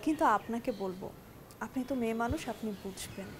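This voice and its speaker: A high, girlish-pitched young wife with a Bangladeshi accent, played by an actress in a TV drama. She is simple and trusting, confiding shyly and hesitantly. Clear background noise from the location sits behind her voice. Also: lively intonation, fast talker, lightly acted. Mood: shyly happy. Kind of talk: naturalistic Bangla TV drama dialogue. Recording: noisy drama location sound.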